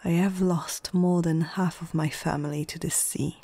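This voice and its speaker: A woman's voice: sits low, steady tone, normal speed, dark tone, breathy edge, no room sound. A woman playing a proud, menacing orc chieftain in an ASMR fantasy roleplay, imperious and condescending, often dropping toward a whisper. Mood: grave, defiant. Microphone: close microphone, dry.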